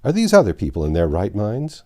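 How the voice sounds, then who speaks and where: Man acting bewildered folksy Yankee, swooping pitch. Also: huge pitch swings, brisk, mellow. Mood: incredulous. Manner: folksy, incredulous, questioning. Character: bewildered, nettled, practical Connecticut Yankee man, a stranger.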